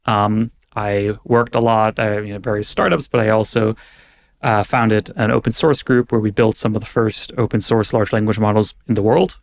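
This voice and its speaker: A man's voice: medium pitch, vocal fry. Thoughtful young man explaining at length. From California, raised partly in Germany. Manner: thoughtful, explanatory, many fillers. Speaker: guest, AI safety advocate. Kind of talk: conversational podcast interview.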